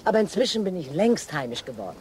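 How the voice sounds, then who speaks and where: Lively, hesitant police inspector. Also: female voice, fairly low pitch, quick pace, a little hiss or hum. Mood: fond, relaxed. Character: distracted, lovestruck female police inspector, wry and hesitant. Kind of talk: naturalistic radio drama dialogue.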